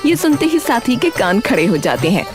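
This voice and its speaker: A woman's voice: a little high, very animated, normal speed, over music. A woman narrating a scripted cartoon story.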